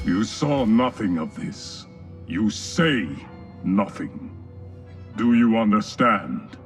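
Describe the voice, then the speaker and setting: An actor playing a cold, imperious man of a secretive order in a game cutscene, speaking with deliberate threat. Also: lowish voice, lively intonation, recorded from a distance, soft background music. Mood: menacing. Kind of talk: video game cutscene acting.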